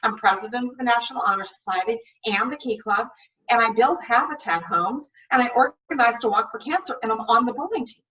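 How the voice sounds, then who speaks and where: A woman's voice: a little high, animated, brisk, a bit bright, far from the mic, highs cut off, voice pushed up. A woman voicing a studious, stressed teenage girl in a storybook reading, with a curt, guarded manner. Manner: clipped, guarded, defensive.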